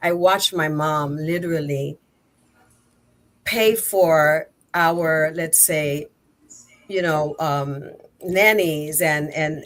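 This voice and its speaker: Middle-aged woman, Haitian accent, native Creole speaker, low pitch, conversational interview guest. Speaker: guest, nonprofit executive director. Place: remote video call.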